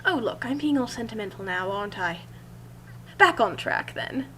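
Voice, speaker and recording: A woman's voice: medium pitch, expressive, moderate pace, pushed and bright. A woman reading a first-person story aloud in a theatrical, emphatic manner. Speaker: reader of fan fiction. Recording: noisy amateur recording with hum.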